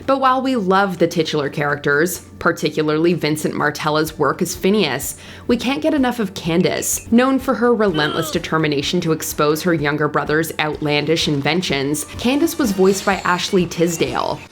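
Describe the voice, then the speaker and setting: A woman's voice: deep voice, animated, moderate pace, hardly any pauses. A woman reading a scripted top ten countdown as narrator, with music behind her. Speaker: narrator and host of a countdown video. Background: background music.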